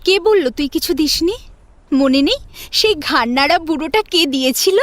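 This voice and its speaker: A woman's voice: sits high, normal speed, no echo. A woman playing a spirited, modest young woman in an audio story, affectionately scolding and teasing. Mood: playful. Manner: teasing, expressive. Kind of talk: Bengali audio story acting.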